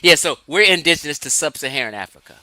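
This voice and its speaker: A man's voice: fairly high pitch, expressive, quick pace, pushed and bright, no echo. A man from Los Angeles giving an emphatic, lecturing livestream talk, answering his chat and laughing often. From Los Angeles, California. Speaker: livestream host.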